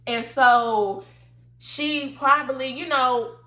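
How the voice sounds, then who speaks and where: A woman's voice: sits high, slow, bright, forceful tone, echoey room. Stuffy-nosed woman chatting about reality TV. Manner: chatty, opinionated, loose and informal. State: congested, stuffy nose. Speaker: reality TV recap host. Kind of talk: casual commentary.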